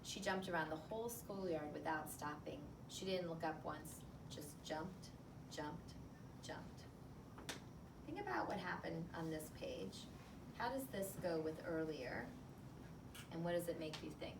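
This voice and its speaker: A woman's voice: sits low, lively intonation, unhurried. Woman teacher reading aloud, roomy distant recording. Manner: conversational, thinking out loud with filler words. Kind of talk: picture book read-aloud with think-aloud commentary. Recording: roomy, distant mic, clear background noise.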